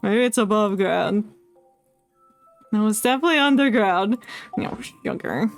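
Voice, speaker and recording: A woman's voice: medium pitch, expressive, measured pace, vocal fry. A woman giving casual live commentary on a game, thinking aloud as the game music plays behind. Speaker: game streamer.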